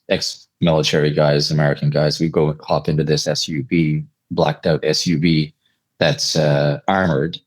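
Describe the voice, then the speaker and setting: A man from Eastern Canada speaking as an interview guest, telling anecdotes in a conversational, measured and reflective way. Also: very deep voice, no room sound. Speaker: interview guest, air traffic controller telling stories.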